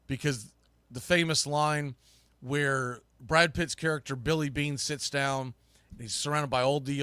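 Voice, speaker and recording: A man's voice: medium pitch, a bit bright, clear, resonant tone, dry recording. A man hosting a live sports talk podcast, speaking casually and emphatically with informal, opinionated, mildly salty phrasing.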